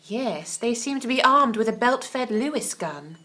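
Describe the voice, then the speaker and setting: A woman's voice: a little high, lively intonation, a little slow, sharp tone, heavily acted. A woman playing a time traveller's dry-witted, cautious companion in an audio drama, speaking formally and precisely. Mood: alarmed, puzzled.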